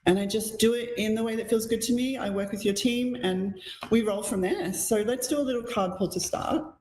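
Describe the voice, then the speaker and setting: A woman hosting a live psychic radio show, slightly croaky from being sick, talking in a gentle, flowing, spiritual way. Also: a little high, a little fast, slight background noise. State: croaky from illness. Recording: echoey room.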